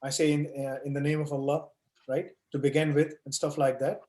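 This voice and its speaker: A man with a slightly high voice talks students through course basics on a video call. He leans on homely examples and keeps asking "right?" to check he is followed. The sound is roomy and echoey. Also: level tone, fairly quick, a little mellow. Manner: explains with everyday analogies, frequent "right?" and "you know". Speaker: online course instructor answering questions. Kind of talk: live online Q&A session. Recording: echoey room.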